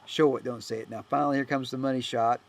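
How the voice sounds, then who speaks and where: A man with a gravelly, creaky voice talks through a film as its director. His delivery is casual and unscripted, halting and rambling, often trailing off. There is noticeable noise in the recording. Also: mid-range voice, fairly even intonation. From United States. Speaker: filmmaker and actor giving director's commentary.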